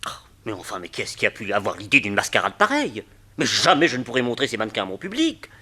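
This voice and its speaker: A man's voice: on the low side, fast talker, slightly rough, full character voice. A fussy, jittery showman. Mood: panicky. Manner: excitable, stammering, indignant. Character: fussy nervous showman, man, easily rattled. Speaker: wagon owner. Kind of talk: vintage radio drama acting.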